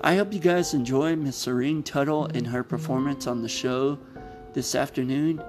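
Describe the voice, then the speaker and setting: A man hosting an old-time radio podcast, summarizing a plot casually, often stopping to correct himself. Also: mid-range voice. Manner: halting, with frequent self-corrections. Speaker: podcast host. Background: music.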